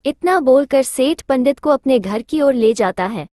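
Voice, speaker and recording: A woman narrates a scripted story for children. Her voice is high in pitch, and the recording is very dry, with no room sound. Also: animated, unhurried, a little mellow, dry recording.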